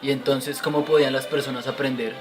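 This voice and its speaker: Inquisitive young student played by a man, medium-pitched, scripted questioning, educational radio drama over clear music. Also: flat delivery, quick pace, close to an everyday voice. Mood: curious. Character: curious, easily impressed young male pupil. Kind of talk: amateur educational radio play.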